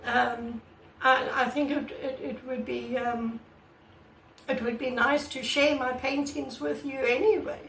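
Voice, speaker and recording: A woman artist with a croaky voice, talking casually and earnestly to her channel's viewers, recorded on a camera mic without a proper microphone. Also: high voice, level tone, measured pace, fairly bright tone, far from the mic, slight background noise. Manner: earnest and repetitive, many restarts. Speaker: artist vlogger. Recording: echoey room, away from the mic.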